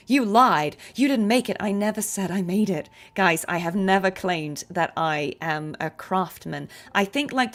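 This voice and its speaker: A woman streamer chatting casually over gameplay, with informal, playful asides. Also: medium pitch. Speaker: streamer and voice actress. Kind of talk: casual livestream chat with gameplay commentary.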